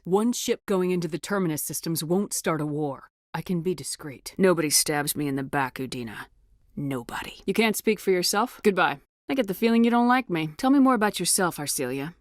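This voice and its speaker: A woman's voice: mid-range voice, dry recording, studio quality, natural voice. An actress playing a tough, resolute woman starship commander in a video game, speaking bluntly and with authority. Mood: cold, menacing. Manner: blunt, authoritative, clipped questioning.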